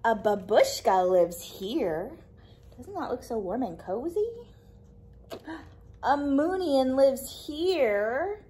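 A young woman with a high, mellow voice reads a children's picture book aloud. She goes slowly and expressively, stopping to ask little questions. There is faint noise behind her. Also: lively intonation. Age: young adult. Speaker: children's librarian reading aloud. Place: small indoor library room with a puppet theatre.

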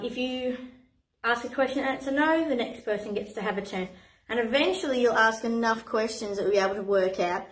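An Australian woman in her thirties, a playgroup facilitator, explaining games to parents in a chatty, encouraging way with many ums. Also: on the high side. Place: playroom with a screen, indoors. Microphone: camera a few steps away. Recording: echoey room.

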